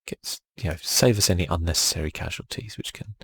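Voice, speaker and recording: A man with a very low, gravelly voice gives laid-back gaming commentary in a soft ASMR style. His husky, breathy delivery often slips toward a whisper, as if he is musing to himself. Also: fairly quick, no echo. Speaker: gaming channel host. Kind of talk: relaxed ASMR game commentary.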